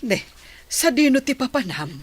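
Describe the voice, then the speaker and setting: A woman's voice: sits high, very animated, normal speed, slightly rough, exaggerated voice. An actress playing a loving, proud mother of a grown son in a radio drama. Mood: pleased, curious. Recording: crowd noise behind.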